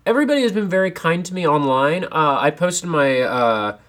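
A young man co-hosting a casual podcast from a home basement, a bit congested, animated and self-deprecating. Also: on the high side, fairly quick. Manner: animated, self-deprecating, sips tea while talking. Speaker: podcast co-host. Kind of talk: casual chat.

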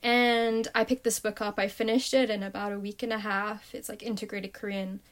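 A woman's voice: mid-range voice, little pitch movement, a little fast. A young woman from the United States chatting casually about learning languages, telling her stories in an upbeat way. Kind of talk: casual chat.

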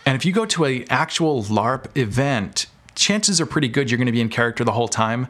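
A man's voice: mid-range voice, expressive, brisk, fairly bright tone. An American man talking casually and informally as a podcast guest, telling stories about his creative work. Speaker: podcast guest, audio drama producer. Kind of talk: casual interview chat.

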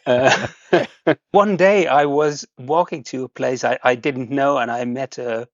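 A man from the Netherlands talks in relaxed, halting English, restarting often. The audio is dull and lo-fi with a dry, close sound. His pitch sits at an ordinary middle level. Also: no echo. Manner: hesitant, halting, frequent restarts and laughs. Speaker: guest host. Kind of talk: casual chat.

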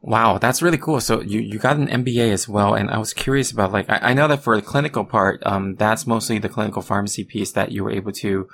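Halting young man asking questions. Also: fairly low pitch, gravelly, a bit bright, a little hiss or hum. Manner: halting questions with many restarts and fillers. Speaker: interviewer. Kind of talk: conversational interview.

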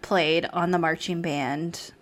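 A woman's voice: fairly low pitch, steady tone, takes it slowly. A woman co-hosting a podcast in casual chat, playful and opinionated, laughing often. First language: not English. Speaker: podcast co-host.

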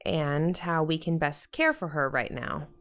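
An actress playing a patient, tired middle-aged mother in a modern audio drama, speaking with measured, tactful firmness. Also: sits low, fairly bright tone. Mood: weary, firm. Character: patient, weary middle-aged American mother, gently firm.

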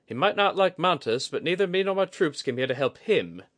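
Man voicing a brash, teasing woman fighter, raised pitch. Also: expressive, fairly quick, a bit bright. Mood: grudging. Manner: wry, needling, colloquial. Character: brash, teasing woman sea-clan leader with rough, folksy speech. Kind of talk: audiobook fantasy character acting.

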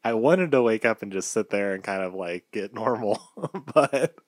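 A man's voice: on the low side, animated, moderate pace, no room sound, clean studio sound. A giggly man chatting casually as a podcast co-host, often laughing as he talks. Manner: giggly, breaks into laughter while talking. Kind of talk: casual chat.

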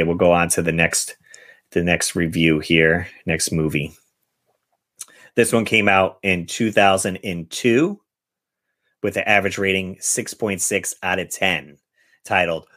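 A man's voice: low voice, fairly bright tone, no echo, studio quality. A man hosting a podcast, chatting casually and reading out film reviews. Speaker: podcast host.

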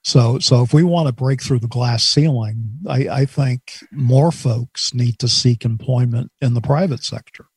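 A man's voice: medium pitch, measured pace, hardly any pauses, darkish tone, breathy edge, dry recording. A man in a casual group talk who speaks hesitantly, circling before he gets to his point. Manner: hesitant, many fillers and restarts.

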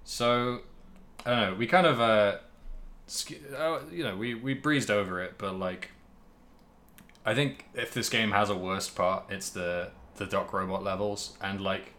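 Male podcast co-host, lower voice, casual comedic game chat, rambling and self-correcting. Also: unhurried, pushed and bright.

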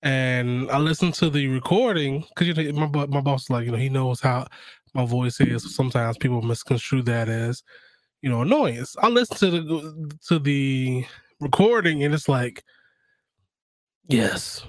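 A man with a raspy voice, chatting casually and telling stories in a wry, teasing way. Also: mid-range voice, normal speed, no room sound. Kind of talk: casual chat, joking storytelling.

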